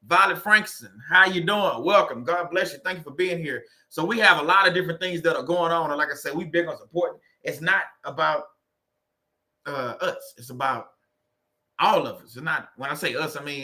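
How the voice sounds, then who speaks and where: Bright-voiced man with a Louisiana accent. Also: fairly high pitch. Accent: Louisiana Southern US. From Louisiana, USA. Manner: loose, joking, greets viewers by name. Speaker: livestream host. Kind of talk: casual livestream chat with viewers.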